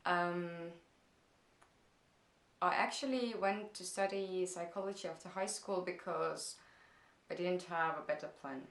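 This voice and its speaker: A woman's voice: lowish voice, fairly even intonation, a bit bright, echoey room. A young woman from Estonia with an Estonian accent, talking casually through viewer questions in her first vlog, with many hesitant fillers. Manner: hesitant, many "um"s, slow and thoughtful. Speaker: vlogger. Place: parents' house, indoors.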